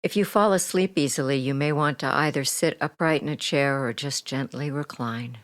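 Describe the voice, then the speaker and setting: A woman leading a guided meditation as a hypnotherapist, speaking soothingly and gently with deliberate pauses, her soft phrases trailing off toward a near whisper. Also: sits low, dry recording, studio quality. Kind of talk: guided meditation, relaxation script.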